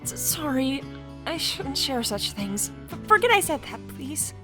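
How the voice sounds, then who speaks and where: A woman's voice: high-pitched, big pitch swings, a little slow, over music, pitched above the performer's own voice. A woman voicing an anxious, self-loathing middle-aged woman with a hesitant, stammering delivery in a story. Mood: flustered.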